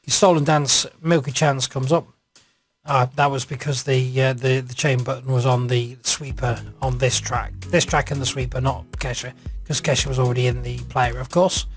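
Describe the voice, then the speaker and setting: A man narrating an informal screencast software walkthrough, hesitant and improvised as he thinks aloud. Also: medium pitch, little pitch movement, normal speed, husky, soft background music. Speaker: software reviewer.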